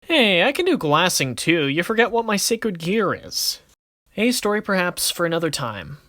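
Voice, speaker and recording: A man's voice: sits high, very animated, normal speed, bright, forceful tone, no echo, exaggerated voice. A man voicing a confident, cocky but good-natured young card duelist in casual, swaggering banter for an amateur anime-style series. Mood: casual, friendly.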